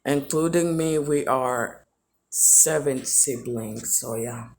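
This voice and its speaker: A young man from Tanzania talks to his viewers in a slow, rambling way, stopping and restarting often. He speaks at an unhurried pace. A faint noise hums behind him. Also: mid-range voice. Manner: rambling, halting. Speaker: vlogger. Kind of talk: casual chat to viewers.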